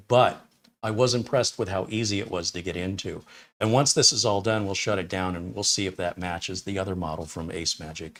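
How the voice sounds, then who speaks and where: A talkative man in his fifties or sixties with a fairly low voice, from Detroit. He hosts a relaxed live tech stream from a small studio room, rambling between tasks and giving his opinions bluntly. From Detroit, USA. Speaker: tech reviewer host. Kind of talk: casual live-stream chat answering viewer questions.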